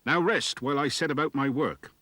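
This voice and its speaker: A man's voice: medium pitch, brisk, sharp tone, no echo, pitched above the performer's own voice, close to an everyday voice. A man voicing a sly, ugly fairy-tale dwarf who wheedles and bargains. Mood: sly, wheedling. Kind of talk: storybook character voice.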